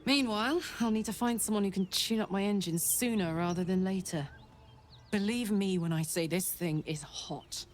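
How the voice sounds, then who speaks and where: A woman voicing a brash, warm-hearted tiefling warrior in a video game, with cocky soldierly banter. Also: mid-range voice, moderate pace, slight background noise, natural voice. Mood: playful, cocky. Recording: music behind the voice.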